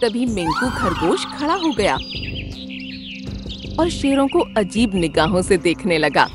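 Lively woman telling a children's story. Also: a little high, a little slow, over music. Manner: lively, expressive. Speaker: narrator.

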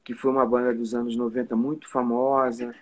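A man's voice: medium pitch, a little fast, poor audio. A man in his forties with a Carioca accent from Rio de Janeiro, a musician guest chatting casually about his life, often tagging his sentences with "né?". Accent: Carioca Portuguese. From Rio de Janeiro, Brazil. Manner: relaxed storytelling with many "né?" tags. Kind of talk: casual live video chat. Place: indoor room at home. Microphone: phone or webcam on a video call.

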